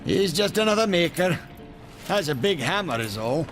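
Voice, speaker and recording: Boastful maker, lively and chatty, slightly high, game music under. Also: male voice, moderate pace. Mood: wry. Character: boastful male maker, wry and talkative. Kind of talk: video game cutscene acting. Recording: game score behind.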